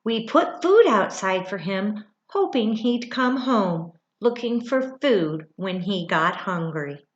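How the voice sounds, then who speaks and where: A middle-aged woman teacher reads aloud to young children in an unhurried, expressive way. Her pitch moves around a lot as she adds asides and questions. The room gives her voice an audible echo. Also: lo-fi sound. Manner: expressive, with dramatic gasps and thoughtful hums. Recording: roomy, echoey indoor sound.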